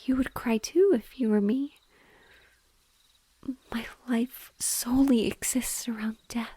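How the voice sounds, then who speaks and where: A woman voicing a mournful, gently loving banshee in a breathy whisper, slow and tender, as in an intimate audio roleplay. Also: fairly high pitch, steady tone, no echo. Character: sorrowful female banshee, gentle and lovingly devoted.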